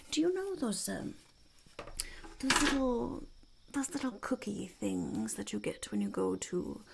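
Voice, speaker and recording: A woman voicing a sly, blunt female goblin entrepreneur in an ASMR roleplay, whispery and creaky, with a halting, conspiratorial manner. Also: on the high side, very animated, background noise, full character voice. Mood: smug, welcoming. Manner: speaks of self in third person, slow, halting, conspiratorial.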